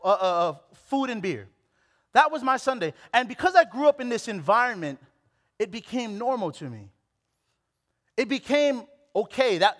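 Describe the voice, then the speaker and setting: A man in his late twenties from Hawaii, preaching a sermon on a church stage with a headset mic, animated and full of restarts. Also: high-pitched. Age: late 20s. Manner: animated, exclamatory, with many restarts. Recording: long hall reverb.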